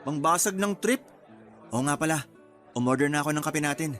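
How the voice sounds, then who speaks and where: A man's voice: fairly high pitch, a little fast, fairly bright tone, natural voice. A man with a Filipino accent playing a teasing, smitten fiancé in his thirties in a radio drama. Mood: teasing, tender. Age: 30s. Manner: teasing, sweet-talking. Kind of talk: conversational radio drama acting. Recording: music bed under the voice.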